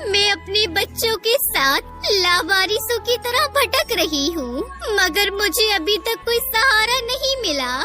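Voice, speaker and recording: A woman's voice: very high-pitched, sing-song, slow pace, few pauses, over loud music, heavily acted. A woman voicing a small female bird, humble and earnest, in a children's moral cartoon. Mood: sorrowful. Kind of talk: Hindi moral cartoon dialogue.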